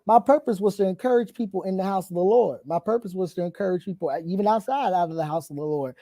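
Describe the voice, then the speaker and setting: A young man who leads worship singing, talking earnestly on a panel, with a rough voice and frequent ums. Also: sits high, fast, mellow, no room sound, studio quality. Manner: earnest, reflective, many ums. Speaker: church worship leader and singer. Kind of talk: casual panel chat.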